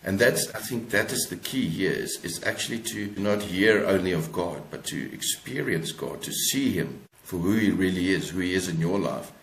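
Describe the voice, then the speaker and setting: A low, deep male voice with a South African accent, recorded in an echoey room. He talks as an interview guest, exhorting listeners and repeating phrases as he goes. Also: animated, moderate pace, hardly any pauses, slight background noise. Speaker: podcast guest, author. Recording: echoey, roomy sound.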